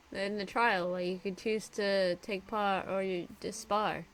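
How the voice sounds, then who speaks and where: A woman's voice: medium pitch, fairly even intonation, takes it slowly, faint music behind, poor audio. A woman streamer chatting casually and laughing as she reacts to a game. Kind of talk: casual gameplay commentary.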